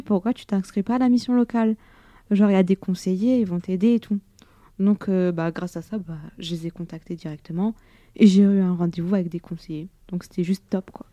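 A young woman hosting a local radio show, relaxed and colloquial as she asks questions. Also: medium pitch, no echo. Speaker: radio host. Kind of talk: casual radio interview.

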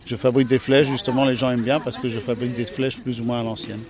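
French man, middle pitch, arrow maker being interviewed, halting and casual. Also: flat delivery, a crowd in the background. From France. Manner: hesitant, many fillers, plain-spoken. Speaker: archery club captain and arrow maker.

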